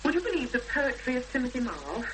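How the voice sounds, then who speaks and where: An anxious young woman with a highish, lively voice in a seventies radio drama. She is determined yet hesitant, thinking as she goes. The old broadcast recording is clearly hissy. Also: rough recording. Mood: thoughtful. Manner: hesitant, thoughtful, with pauses. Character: anxious, determined young woman seeking her missing sister. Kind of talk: 1970s radio drama acting.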